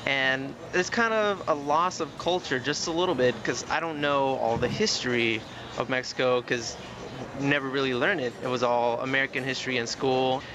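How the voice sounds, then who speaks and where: A man's voice: mid-range voice, moderate pace, a crowd in the background. A man born and raised in Austin, Texas, speaking candidly and reflectively about his identity. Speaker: panel guest. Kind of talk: casual discussion.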